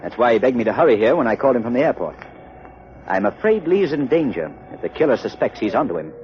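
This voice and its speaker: An actor playing a suave, commanding gentleman sleuth in a theatrical, authoritative 1930s radio mystery style. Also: mid-range voice, big pitch swings, fast. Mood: grave, measured. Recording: dull, noisy 1930s radio recording.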